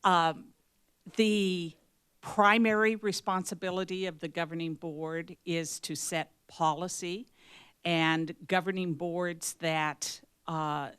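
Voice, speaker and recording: Casting: a low-voiced, unhurried woman candidate, dry close sound. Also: fairly even intonation, a bit bright, clear, resonant tone, no room sound. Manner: slow, deliberate. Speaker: board candidate. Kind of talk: candidate forum answers.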